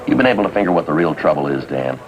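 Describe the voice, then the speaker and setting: A man playing a dry, world-weary American detective in a 1950s police drama, terse and deadpan. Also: rumbling low, racing, exaggerated voice. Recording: noisy vintage TV soundtrack.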